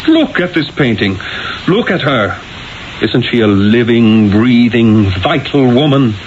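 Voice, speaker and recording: A man performs an impassioned, proud old-world master artist for 1970s radio. His delivery is lofty and theatrical, with lively swings of pitch. The broadcast is dull, with faint noise. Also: unhurried, natural voice. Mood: indignant, impassioned. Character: proud, impassioned male Renaissance master painter. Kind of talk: 1970s radio drama acting.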